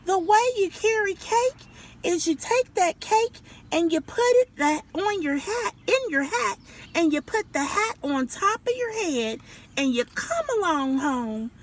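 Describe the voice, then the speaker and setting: A woman voicing an exasperated mama possum in a storybook, scolding with drawn-out, emphatic words. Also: very high-pitched, lively intonation, slight background noise, pitched above the performer's own voice.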